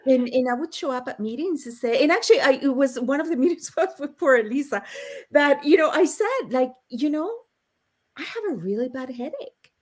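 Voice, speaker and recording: A woman's voice: high-pitched, animated, fairly quick, darkish tone. A woman hosting a casual panel chat on a video call, telling stories with frequent laughs. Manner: chatty, laughing storyteller. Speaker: host.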